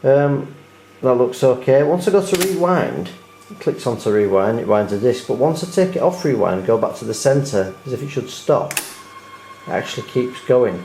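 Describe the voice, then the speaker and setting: Mellow British man in an echoey room. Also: mid-range voice, very animated, moderate pace, a little hiss or hum. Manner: hesitant, rambling, often repeating words. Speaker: video host showing a repair. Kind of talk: unscripted hands-on demonstration, casual chat. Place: indoors at home. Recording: roomy, echoing indoor home recording.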